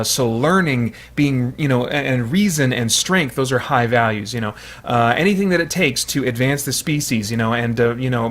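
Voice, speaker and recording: A man with a medium-pitched voice hosts an analytical video essay. He explains his points informally and hedges often as he goes. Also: fairly bright tone. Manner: explanatory, hedging with frequent "you know".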